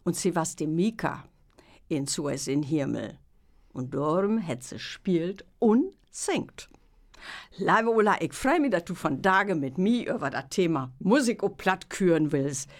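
Lively woman host with a Münsterland Low German accent. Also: unhurried. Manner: lively, laughs a lot, mixes Low and High German. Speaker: radio host. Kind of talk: casual radio interview. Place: radio studio.

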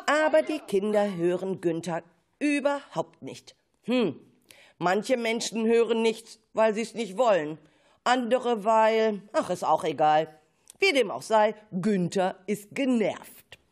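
A woman's voice: mid-range voice, lively intonation, takes it slowly. A woman narrating a children's story expressively, with playful asides.

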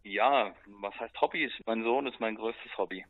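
A man's voice: on the low side, measured pace, phone-quality sound, slight background noise. A man, a musician guest, answering casually and spontaneously in a laid-back, colloquial way.

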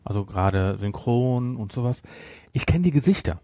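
A man's voice: mid-range voice, lively intonation, moderate pace, warm tone, no echo, clean studio sound. A man co-hosting a podcast in casual chat, often breaking off and correcting himself mid-sentence. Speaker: podcast co-host. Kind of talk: casual chat about audio dramas.

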